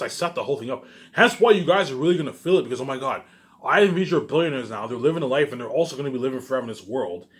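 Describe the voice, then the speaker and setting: A man in a casual, rambling monologue, boastful and repetitive, emphatic and swearing freely as he leans on the same catchphrases. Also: mid-range voice. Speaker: online video creator.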